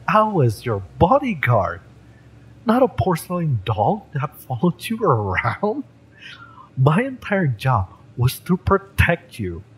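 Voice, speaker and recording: A man playing a fiery, lovesick young mercenary bodyguard in a romance audio roleplay, speaking with halting, wounded emotion. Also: medium pitch, slight background noise, poor audio. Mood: exasperated, protective.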